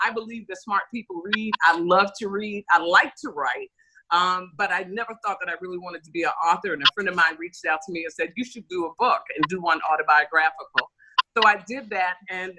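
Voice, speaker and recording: A woman's voice: on the low side, pushed and bright, a little hiss or hum, low-quality recording. A woman radio host and author chatting on a video call in a chatty, upbeat, rambling way. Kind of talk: casual video call chat.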